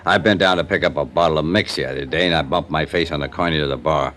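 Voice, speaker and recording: A guarded hotel bartender, with a very deep voice, casual and defensive. He answers questions from behind the bar in an old radio crime drama. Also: fast talker, a bit bright, slight background noise. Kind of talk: 1950s radio drama acting.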